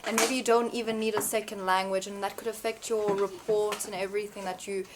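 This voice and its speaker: A young teenage girl raising a what-if question in a school debate. She talks quickly against a noisy classroom with clicks in the background. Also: mid-range voice, level tone. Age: young teen. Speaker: student debater.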